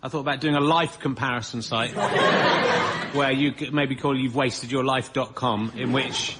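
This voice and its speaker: A man performing as a comedy panel guest, pitching comic ideas in a rambling, self-deprecating way. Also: fairly even intonation, a little slow. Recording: noisy live show recording.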